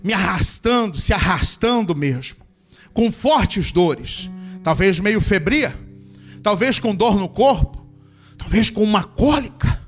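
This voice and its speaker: A man's voice: high-pitched, animated, slow, soft background music. A man, a pastor, leading a church in a fervent healing prayer and commanding sickness to leave. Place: church service.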